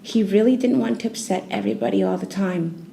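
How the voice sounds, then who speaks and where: A woman narrates a children's bedtime story in a soothing, gently expressive way. Her voice is mellow and relaxed, at an average pitch. There is faint noise behind her. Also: a little fast, creaky.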